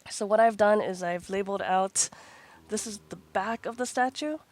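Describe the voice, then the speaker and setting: A woman's voice: medium pitch, moderate pace, a little mellow. A woman hosting her gaming channel, chatting casually over gameplay while congested from a cold, rambling with frequent ums and restarts.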